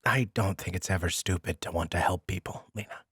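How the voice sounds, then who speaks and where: An actor playing a kind, earnest social worker in an audio drama, speaking gently and hesitantly with reassurance. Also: male voice, deep voice, brisk, husky, no room sound, studio quality, heavily acted. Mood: gently consoling. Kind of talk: naturalistic audio drama dialogue.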